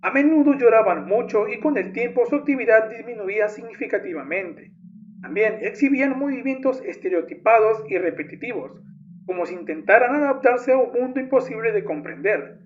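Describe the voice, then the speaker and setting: High-pitched man narrating, muffled lo-fi sound. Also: lively intonation, brisk. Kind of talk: scripted narration. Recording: dull lo-fi audio with music. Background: music.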